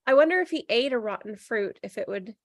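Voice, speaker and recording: A woman's voice: on the high side, animated, fast talker, no room sound. A woman talking casually in a group discussion, informal and enthusiastic.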